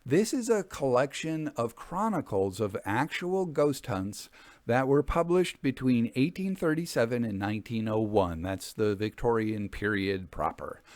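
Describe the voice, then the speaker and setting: A man hosting a story podcast, presenting in a measured, explanatory style. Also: mid-range voice, dry recording. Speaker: host and narrator of a story podcast. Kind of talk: measured podcast outro, book promotion.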